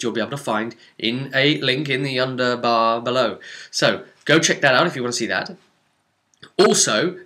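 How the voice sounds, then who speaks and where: A man's voice: medium pitch, pushed and bright. A man chatting casually and emphatically as a game master recapping a tabletop roleplay campaign on his vlog, with an enthusiastic manner. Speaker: game master presenting a vidcast.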